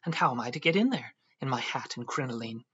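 A man's voice: medium pitch, expressive, fast, fairly bright tone, slightly rough, highs cut off. A man voicing a petulant, flighty young wife, raising his voice into a fretful, whiny delivery. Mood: indignant.

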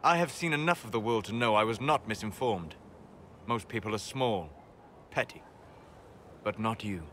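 A man playing a calm, reserved, scholarly elf mage in a video game, speaking formally in deliberate, measured phrases. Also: fairly low pitch, slight background noise, close to an everyday voice. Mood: grave, critical. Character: calm, scholarly, reserved elven mage, admiring yet condescending. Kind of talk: video game dialogue acting.

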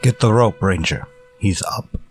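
A man playing a stoic, loyal scout sidekick in a radio western, terse and deadpan in clipped broken English. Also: fairly low pitch, measured pace, over music, lightly acted. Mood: urgent. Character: stoic, dry-witted Native scout, loyal male sidekick. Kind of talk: old-time radio western acting.

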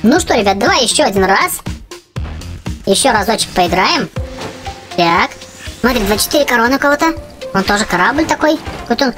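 A youthful-sounding gaming host giving excited, chatty gameplay commentary, often exclaiming and repeating phrases. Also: mid-range voice, brisk, long pauses, music in the background. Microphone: voice-over on game footage.

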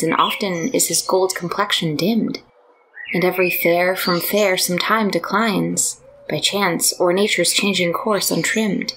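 A woman's voice: mid-range voice, background noise. A woman reading classic poetry aloud as a recitation. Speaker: poetry reader. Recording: birdsong behind the voice.

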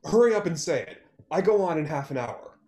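A man's voice: on the high side, lively intonation, a little fast, dark tone. A young man reading the part of a sarcastic, impatient music performer in a play. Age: young adult. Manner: curt, sarcastic. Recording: echoey room on video call.

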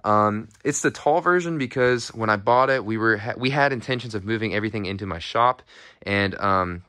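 Laid-back young American man, low voice. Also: moderate pace, pushed and bright, dry recording, clean studio sound. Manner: laid-back, rambling, slangy. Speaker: fitness vlogger giving a home gym tour. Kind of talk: casual off-the-cuff vlog chat. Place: indoor metal-walled garage-shop gym. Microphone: handheld phone held behind the camera view.

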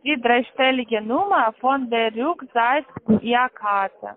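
A woman making an insistent call centre call, pushy and repetitive, with halting non-native phrasing. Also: a little high. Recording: tinny narrowband phone line.

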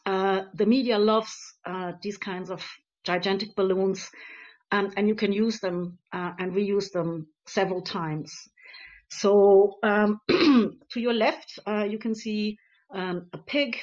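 A woman's voice: medium pitch, steady tone, takes it slowly, darkish tone. Earnest woman presenting slides on a video call. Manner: many ums and uhs, earnest and encouraging. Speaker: conference speaker on activism. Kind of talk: presenting slides in a webinar talk. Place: home room on a video call. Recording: slightly dull lo-fi video call audio.

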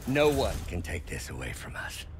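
A man's voice: low-pitched, big pitch swings, moderate pace, gravelly, noisy background, full character voice. An American man playing a volatile, obsessive middle-aged genius inventor in a video game, with intense, dramatic delivery. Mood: elated. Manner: intense, dramatic, swinging from hushed to explosive. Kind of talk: video game cutscene acting.

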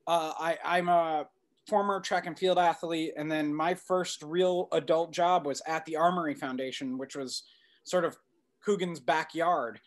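A man's voice: a little high, fairly even intonation, unhurried. A middle-aged man, a documentary director, answering interview questions in a conversational storytelling way on a video call. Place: indoor room at home, video call.

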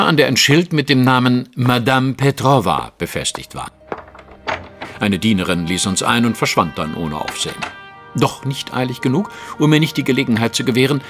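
A refined gentleman detective recounts his case in a medium-pitched, very expressive voice. He is precise, formal and drily witty, in audio drama style, with soft music underneath. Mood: wry. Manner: dry, formal, precise, wry. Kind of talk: first-person audio drama narration.

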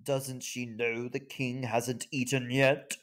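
A man voicing a scornful, know-it-all tortoise in a storybook, snooty and deliberate. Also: mid-range voice, flat delivery, fairly bright tone. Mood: scornful, smug.

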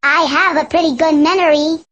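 A woman voicing a modest, gentle young girl elephant in a children's learning game, speaking deliberately. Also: sits high, steady tone, lo-fi sound. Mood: patient. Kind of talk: children's educational game acting.

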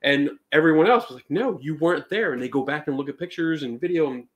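A man's voice: on the high side, fast talker, fairly bright tone. A man hosting a casual panel chat in a living room, rambling with many restarts and acting out what people said. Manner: rambling, restarts often, acts out quotes. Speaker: podcast host.

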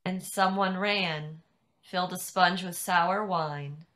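A woman reading scripture aloud as a dramatic narrator, measured and deliberate, at home on a video call. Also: on the low side, steady tone, a bit bright.

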